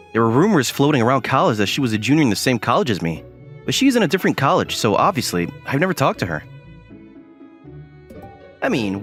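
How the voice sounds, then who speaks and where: Young male student for an anime dub, played by a man: guarded, self-deprecating, dry and puzzled, with lively swings in pitch over a music bed. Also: close to an everyday voice. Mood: matter-of-fact. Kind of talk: anime-style dub with first-person narration and inner monologue. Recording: music behind the voice.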